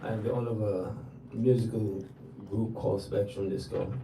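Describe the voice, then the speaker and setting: An older man from Jamaica, a DJ being interviewed on a livestream, answering in a relaxed way and repeating words for emphasis. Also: lowish voice, normal speed, gravelly. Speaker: DJ guest. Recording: roomy, distant, noisy livestream audio.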